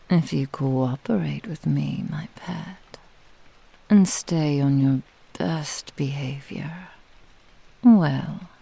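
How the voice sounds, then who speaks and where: A woman voicing a vain, possessive kitsune witch in an intimate ASMR roleplay, speaking in a breathy, lingering whisper. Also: deep voice, warm tone, exaggerated voice, low-quality recording. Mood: possessive, enticing. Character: vain possessive seductive female nine-tailed kitsune witch. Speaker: roleplay audio voice actor.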